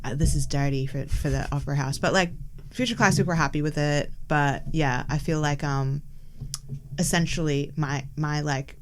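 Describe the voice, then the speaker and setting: A woman's voice: low-pitched, steady tone, normal speed, slight background noise. A young woman from Hong Kong, raised in Melbourne, chatting casually as a podcast guest and filling her talk with "like". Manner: chatty, many "like" fillers, laughs often. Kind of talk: casual interview chat.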